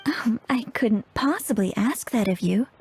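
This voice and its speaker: A woman's voice: on the high side, expressive, unhurried, background noise. A woman playing a polite, gracious young shopkeeper in a video game, with courteous, apologetic phrasing. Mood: polite, grateful. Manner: polite, gentle wording, apologetic. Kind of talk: English video game voice acting.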